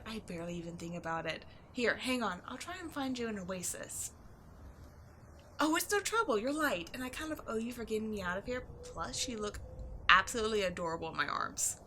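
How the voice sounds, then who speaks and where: Woman voicing a bright, flirty giant sphinx. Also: fairly high pitch, slight background noise. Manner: playful, teasing, expressive. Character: giant flirty female sphinx, teasing, excitable, ancient temple guardian. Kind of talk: roleplay audio acting addressed to the listener.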